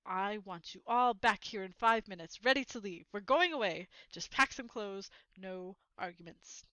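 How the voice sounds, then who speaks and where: A woman voicing a gruff, stubborn middle-aged uncle in a storybook, bossy and clipped. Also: mid-range voice, moderate pace, sharp tone, no room sound, dull audio, lightly acted. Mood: strained calm.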